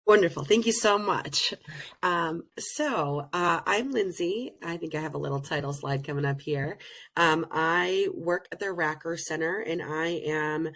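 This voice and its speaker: A woman presenting in an online learning session over a video call, slightly hoarse from being sick, explaining things earnestly with many pauses. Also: low voice, a bit bright. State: hoarse, recovering from illness. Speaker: presenter and program director. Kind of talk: webinar presentation.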